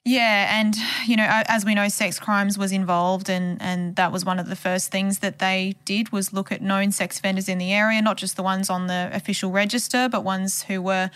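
A woman's voice: mid-range voice, little pitch movement, moderate pace, hardly any pauses, a bit bright, smooth, dry recording. An Australian woman explaining a case as a journalist in a conversational true crime podcast style.

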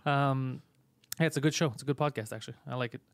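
A man's voice: medium pitch, no room sound. Sarcastic swearing man with a dry close sound. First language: Greek. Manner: loud, sarcastic, mocking, swears a lot. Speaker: podcast host. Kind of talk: casual crude comedy chat.

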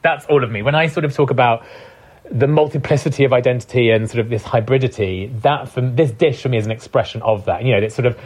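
A man in a podcast interview speaks thoughtfully, often pausing to find his words. His voice sits at an ordinary middle pitch for a man. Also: darkish tone, breathy edge. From Britain. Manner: thoughtful, searching for words, many fillers. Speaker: cookbook author guest. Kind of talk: reflective conversational interview.

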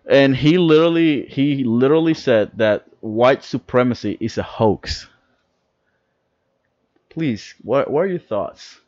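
A young man from the Dominican Republic rambles excitedly through casual podcast chat and curses freely. His voice sits at an ordinary middle pitch. The sound is dry and a little dull. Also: unhurried, no room sound. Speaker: podcast co-host.

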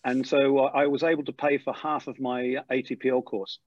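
A middle-aged man with an Australian accent, softened by decades in England, tells his story at a rapid clip. He stumbles and restarts often as he warms up. The recording is dry and a touch muffled. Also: mid-range voice, no room sound. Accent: Australian, softened by years in England. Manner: animated storyteller, quick with many restarts. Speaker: airline pilot guest. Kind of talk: storytelling interview. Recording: slightly dull lo-fi sound.

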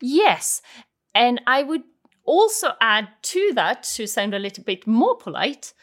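A woman's voice: a little high, sing-song, unhurried, a bit bright. Patient female teacher. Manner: patient, explains step by step, models phrases. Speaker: Swedish language teacher.

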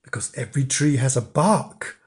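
A woman's voice: low voice, very animated, takes it slowly, darkish tone, exaggerated voice. A woman voicing a sly male animal trickster in a storybook, mock-solemn and emphatic in giving warnings.

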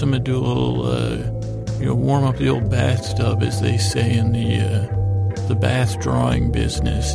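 A man with a gravelly, creaky voice hosting a podcast, rambling through an improvised bedtime monologue in a halting, stammering way that often interrupts itself. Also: mid-range voice. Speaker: podcast host.

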